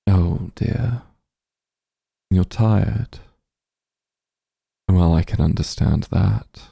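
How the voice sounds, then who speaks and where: A man playing a doting demon lord in an ASMR roleplay, speaking soothingly and tenderly in a low, husky, gravelly voice. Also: drawn-out words, dry recording, exaggerated voice. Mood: soothing, comforting. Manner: soothing, tender, gently coaxing. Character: doting demon lord, shapeshifted into soft strong form. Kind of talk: ASMR roleplay, intimate comforting monologue.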